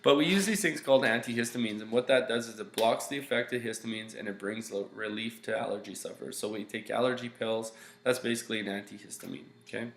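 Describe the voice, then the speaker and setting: A young male teacher talks through a recorded slide lesson in a stuffy, nasal voice that sits a little low and turns gravelly. He explains casually over a webcam, stopping often for fillers. The small room around him sounds echoey, with a faint hum underneath. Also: fast talker. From Canada. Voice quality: congested, nasal. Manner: loose, explaining with many fillers and okays. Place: small room at home, indoors.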